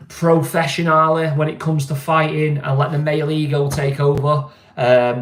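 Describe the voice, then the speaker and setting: Mancunian male streamer, easygoing and chatty, voice a touch high, roomy echo. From England. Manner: chatty, laid-back, keeps asking "you know what I mean". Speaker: vlogger. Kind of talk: casual live-stream chat.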